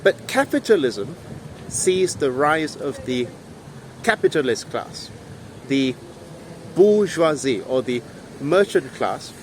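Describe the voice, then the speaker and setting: A man's voice: fairly high pitch, a little mellow. A young man explaining history to camera outdoors, earnest and lecturing, stressing key words, filmed on a phone at arm's length. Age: young adult. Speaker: history vlogger. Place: outdoors in a town square by old buildings. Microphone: phone held at arm's length. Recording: faint street noise with passing vehicles.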